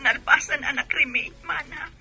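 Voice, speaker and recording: A woman's voice: high voice, normal speed, creaky, sharp tone, soft background music, full character voice. A woman playing an earnest, devoted grown daughter with a Filipino accent in an audio drama. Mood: sad, composed. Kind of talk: Ilocano audio drama acting.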